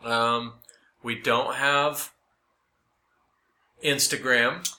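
A man's voice: mid-range voice, fairly even intonation, drawn-out words, sharp tone, roomy sound. An American man co-hosting a casual comedy podcast with dry, self-deprecating humor. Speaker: podcast co-host.

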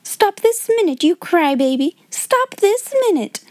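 A woman's voice: sits high, expressive, moderate pace, darkish tone, no echo, studio quality, pitched above the performer's own voice. A woman voicing a spiteful, haughty older schoolgirl in a storybook, bossy and scornful. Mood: angry. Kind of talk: storybook character voice in audiobook.

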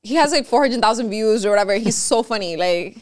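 A woman's voice: fairly high pitch, lively intonation, quick pace, darkish tone. A young woman hosting a podcast, chatting casually in English with Spanish phrases mixed in and laughing often. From United States. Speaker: podcast host. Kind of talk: casual interview chat.

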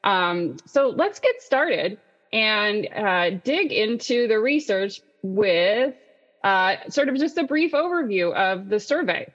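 A woman's voice: mid-range voice, big pitch swings, takes it slowly, a bit bright, muffled recording. A woman hosting an online panel, presenting in a semi-scripted webinar style. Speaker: panel host and moderator.

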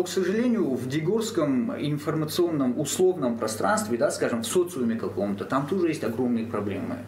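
A man, a theatre actor, speaking earnestly and deliberately in a panel discussion, pausing with hesitations. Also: mid-range voice, a little mellow. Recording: echoey room, faint background hum.

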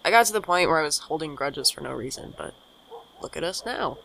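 A woman's voice: sits low, expressive, brisk, gravelly, fairly bright tone, slight background noise, exaggerated voice, low-quality recording. A woman playing a young, caring friend with dry, casual banter in an audio drama. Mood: wry, easy. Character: young Micah, teasing, caring friend and host. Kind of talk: naturalistic audio drama acting.

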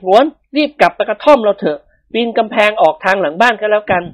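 A woman's voice: medium pitch, animated, fast talker, voice pushed up, close to an everyday voice. A woman voicing a cheeky, flustered young man who speaks politely but nervously in a storybook reading.

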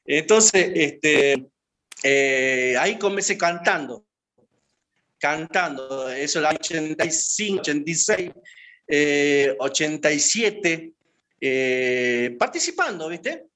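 A man's voice: fairly high pitch, animated, slow, sharp tone. A man, answering interview questions and reminiscing in a rambling way, with many hesitations and filler words. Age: 50s. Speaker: guest. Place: his home.

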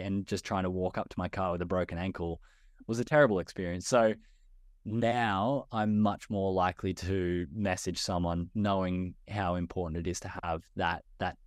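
A young Australian man, a guest in a podcast interview, speaking in a relaxed way. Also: deep voice, dry recording, studio quality. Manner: relaxed, many fillers and restarts. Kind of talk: conversational interview.

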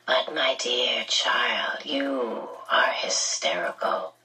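A woman voicing a smooth, menacing man in an audiobook, slow and patronizing, with a whispery, breathy tone. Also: a bass voice, animated, bright, forceful tone, far from the mic, pitched below the performer's own voice, heavily acted, low-quality recording. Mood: falsely soothing. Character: smooth, menacing, condescending man with red eyes.

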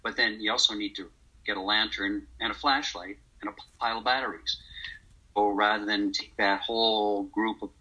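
A man guests on a podcast, his voice low and raspy with a sharp, bright edge. He explains his product in a flat, matter-of-fact way, like a practiced pitch. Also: moderate pace, poor audio. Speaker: company co-founder, guest. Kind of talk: casual podcast interview.

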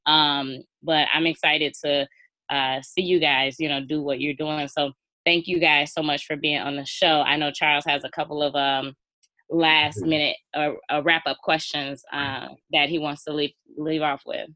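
Low-voiced encouraging woman host. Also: level tone, moderate pace, bright, forceful tone, dry recording. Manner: encouraging, talks in long run-on thoughts. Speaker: guest host. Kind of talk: casual podcast interview.

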